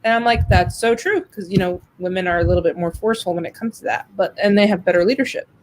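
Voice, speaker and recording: A woman's voice: medium pitch, fast. Casting: American woman, casual co-host, candid and outspoken, mid-range voice, slightly noisy recording. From New Mexico, USA. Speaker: co-host of a business show. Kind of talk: casual chat.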